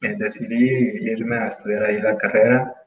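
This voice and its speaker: A man in his thirties from Mexico, speaking as an interview guest in casual conversation, thoughtful and full of pauses and restarts. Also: fairly low pitch, little pitch movement, echoey room, highs cut off. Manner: thoughtful, hesitant, many fillers and restarts.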